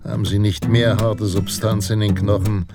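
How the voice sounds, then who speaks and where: An actor playing a brooding, scornful Western narrator in a radio drama, grave and terse, telling a gunslinger's tale. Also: male voice, on the low side, little pitch movement, moderate pace, close to an everyday voice. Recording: voice over loud guitar music.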